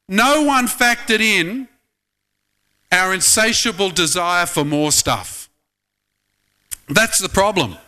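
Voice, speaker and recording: High, bright-voiced pastor preaching. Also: male voice. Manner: conversational, asks the congregation many questions. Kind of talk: sermon.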